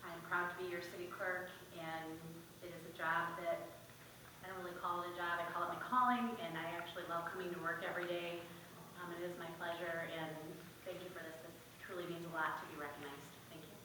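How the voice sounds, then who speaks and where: A woman's voice: on the low side, fairly even intonation, fairly quick. A woman giving short formal thanks as a city clerk at a council meeting. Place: indoor council meeting room. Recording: very echoey room, distant mic, clear noise.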